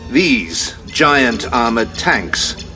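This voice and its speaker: An actor playing a grave male general in an audio drama, briefing in a measured, formal way. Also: medium pitch, very animated, bright, forceful tone, faint music behind, exaggerated voice.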